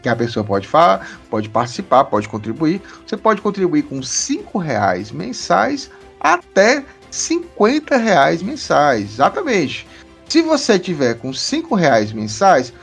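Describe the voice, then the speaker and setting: A man's voice: a little high, slightly rough. A man hosting a podcast in a casual, chatty way, often repeating himself and checking in with listeners. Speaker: podcast host. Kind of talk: casual podcast chat, explaining supporter tiers.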